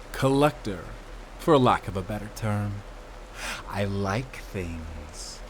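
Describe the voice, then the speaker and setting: A man's voice: on the low side, a little hiss or hum. A man playing a cruel, gloating prison warden in an audio drama, drawling and mocking his captives. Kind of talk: audio roleplay drama acting.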